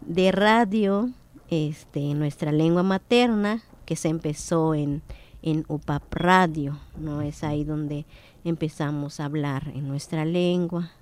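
A woman's voice: deep voice, slow, darkish tone. A woman whose first language is Popoluca, a linguist speaking as a guest in a radio interview, hesitant with many fillers.

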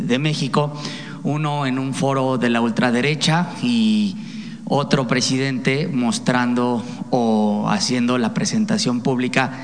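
A Mexican man, a reporter putting a long, formal and somewhat hesitant question at a press conference. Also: mid-range voice. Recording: long hall reverb.

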